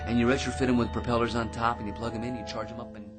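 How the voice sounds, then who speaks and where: An American actor in a radio drama playing a cocky, ordinary man who rambles smugly and stammers with excitement. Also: mid-range voice, very fast, lightly acted. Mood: excited rambling. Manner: rambling, smug, stammering excitedly, pestering with tag questions. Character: cocky, chattering, ordinary man full of crackpot schemes. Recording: radio drama with music underneath.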